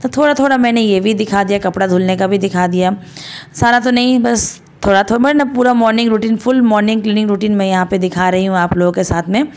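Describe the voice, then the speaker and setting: A woman in her thirties from India, narrating her home chores in a chatty, homely voice-over, still hoarse after a cold. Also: mid-range voice, steady tone, fast talker, a little hiss or hum. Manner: chatty, homely, rambling with fillers. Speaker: vlogger.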